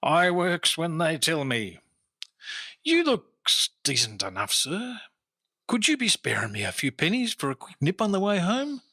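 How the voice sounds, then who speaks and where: An actor playing a humble, servile street sweeper in an old-time radio drama, with rustic, uneducated speech. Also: male voice, on the high side, huge pitch swings, unhurried, pushed and bright, full character voice. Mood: humble, pleading. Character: humble, wheedling poor street sweeper, a detective in disguise.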